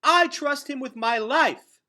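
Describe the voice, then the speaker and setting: Guy reading as earnest young hero boy from a fantasy book, blunt n pleading, pitched up and bright. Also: slow pace, exaggerated voice. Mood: fiercely loyal.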